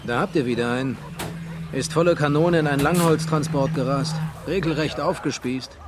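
A man's voice: a little high, normal speed, close to an everyday voice. A man playing a blunt, callous ambulance man in a German radio crime drama, with a flippant manner. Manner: flippant, gruesomely jokey. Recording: loud vehicle and outdoor noise.

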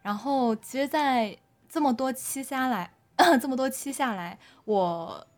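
Casting: female drama director with a fairly high voice, chatting off the cuff, halting, with repeated words. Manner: hesitant, often repeating words mid-phrase. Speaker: audio drama director being interviewed. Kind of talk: unscripted casual interview chat.

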